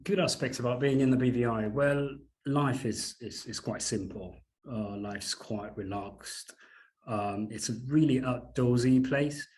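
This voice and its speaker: A man's voice: mid-range voice, measured pace, a little mellow. A man from Uganda answering interview questions, with many fillers and repeated words. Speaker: island resident professional.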